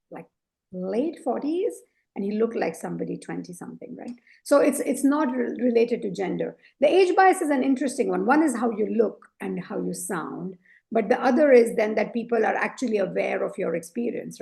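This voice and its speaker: Woman, low voice, very gravelly fry, earnest podcast guest, thoughtful. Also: warm tone. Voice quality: low, deep voice. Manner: reflective, earnest, lots of "you know" and "right?". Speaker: leadership coach, guest. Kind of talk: conversational interview.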